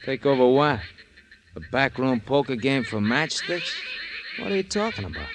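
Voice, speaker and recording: A man's voice: mid-range voice, big pitch swings, normal speed, close to an everyday voice. An actor playing a cocky, sardonic gangster henchman in 1950s radio crime drama, slangy and teasing with mock-wounded wisecracks. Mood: cocky, dismissive. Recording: noisy old radio recording, honking ducks.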